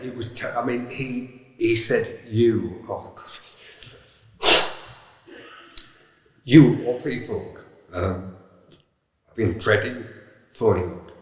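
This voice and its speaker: A man with a low voice recalls the past in halting phrases, full of uhs and restarts. His voice carries a roomy echo with a long reverb tail. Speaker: former panto cast member. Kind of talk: reflective storytelling. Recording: echoey room with long reverb.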